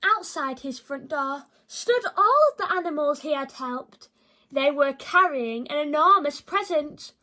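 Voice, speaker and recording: A woman reading a children's picture book aloud in an expressive, teacherly way. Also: high-pitched, a little slow. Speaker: children's story reader.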